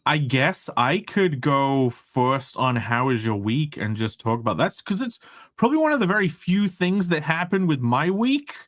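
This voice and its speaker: An English man co-hosting a loose comics podcast, talking in a slightly high voice. He swears casually and trips over his restarts. The sound is dry and close. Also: very animated, no room sound. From England. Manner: swears freely, halting restarts. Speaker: podcast co-host. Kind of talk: casual chat.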